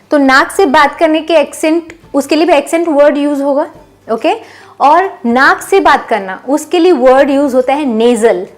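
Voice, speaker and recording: Lady english teacher, high voice, says example sentences real slow for learners. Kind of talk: bilingual language lesson.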